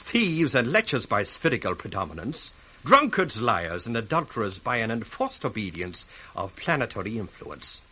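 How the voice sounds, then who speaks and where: An actor with a British accent playing a sly, ambitious bastard son in a theatrical, sardonic 1960s radio Shakespeare style. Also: male voice, mid-range voice, fairly bright tone, full character voice, rough recording. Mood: mocking, scornful. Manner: theatrical, sardonic, crisply declaimed. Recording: faintly hissy 1960s radio recording.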